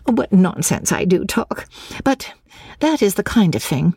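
A woman voicing an excitable, desperate young Englishwoman in an audiobook, gushing breathlessly and stammering over repeated words. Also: medium pitch, slow, husky, dry recording, studio quality, pitched above the performer's own voice, heavily acted. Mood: flustered. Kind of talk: audiobook character dialogue.

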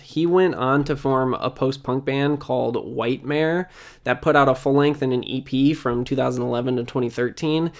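A man hosting a music history series, narrating a scripted video essay in informal, conversational phrasing. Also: medium pitch.

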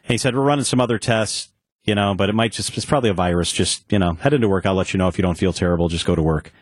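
A man's voice: low-pitched, racing, no echo, clean studio sound. A middle-aged man hosting an afternoon radio talk show, telling a personal story in a candid, conversational way. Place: radio studio. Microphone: studio microphone.

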